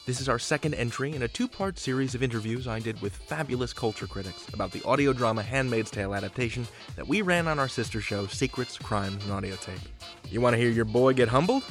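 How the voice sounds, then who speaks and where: A man's voice: medium pitch, fast, music in the background. An American man hosting a podcast in a chatty, folksy, emphatic way as he introduces and interviews.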